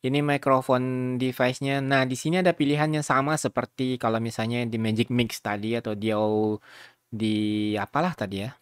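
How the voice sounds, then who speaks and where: A man talks viewers through software in an informal, rambling way. His voice sits at a middling pitch. It is recorded close and dry, like a home tutorial voice-over. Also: steady tone, moderate pace, clean tone, no room sound. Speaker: video tutorial host.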